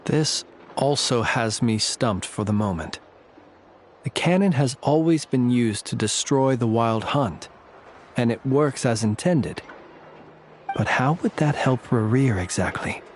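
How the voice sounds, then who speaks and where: Male actor as courteous fantasy-game investigator: medium-pitched, measured, formal, dryly analytical, faint noise behind. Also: expressive, lightly acted. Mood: puzzled. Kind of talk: video game character acting.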